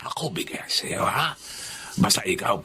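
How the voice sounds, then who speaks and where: A man's voice: lowish voice, very animated, a little slow, pushed and bright, husky, whispered, full character voice. An actor playing a sly Chinese businessman in a radio drama, speaking broken, clipped Tagalog with a Chinese accent. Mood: wheedling. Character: sly Chinese businessman bribing a crooked cop. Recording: music under the voice.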